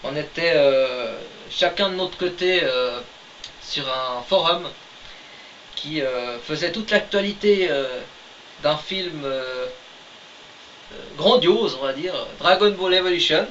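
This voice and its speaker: A man's voice: fairly high pitch, slow pace, a bit bright. A man co-hosting a casual podcast who talks hesitantly, with many fillers and dry jokes. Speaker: podcast co-host, writer of the story. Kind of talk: casual chat. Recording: distant mic, dull lo-fi sound, faint hiss.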